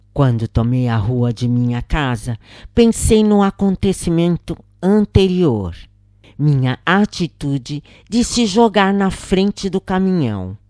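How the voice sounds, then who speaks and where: A Brazilian woman narrates a first-person account in a low voice. Her delivery is solemn and deliberate, with slow pacing and dramatic swings in pitch. She phrases each thought expressively, as in an audiobook. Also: warm tone, dry recording, studio quality. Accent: Brazilian Portuguese.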